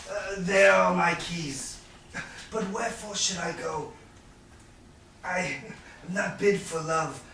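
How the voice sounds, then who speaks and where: A man playing a suspicious, grumbling old moneylender father in a staged Shakespeare reading, with a halting delivery. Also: fairly high pitch, bright, forceful tone, heavily acted. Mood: uneasy, brooding. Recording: echoey room from far off, background noise.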